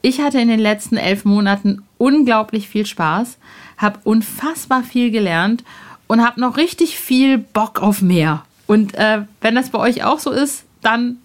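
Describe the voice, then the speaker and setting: Upbeat woman podcast host, higher voice. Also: measured pace. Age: 40s. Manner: lively, enthusiastic, friendly. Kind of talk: casual podcast chat.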